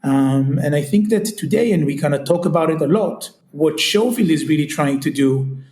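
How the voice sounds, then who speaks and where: A man's voice: fairly high pitch, animated, normal speed, a little mellow. A man with an Israeli accent, talking as a guest in a casual interview, searching for words and leaning on fillers like "kinda" and "you know". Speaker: guest, retail company CEO. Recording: echoey room sound.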